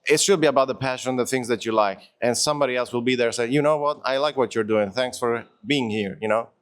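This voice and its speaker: A man with an Italian accent talks through his thoughts in a casual panel conversation. His voice is clear and smooth at an ordinary pitch, and he pauses often with "uh" and "um" as he works out his words in English. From Italy. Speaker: content creator, panel guest.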